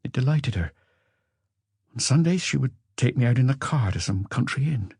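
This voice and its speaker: A man voicing an educated Frenchman who looks back on a passionate marriage with regret, in a measured, confessional audiobook reading. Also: mid-range voice, animated, a little mellow, slightly rough, no room sound, studio quality, pitched above the performer's own voice. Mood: reflective, candid. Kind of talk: audiobook character dialogue.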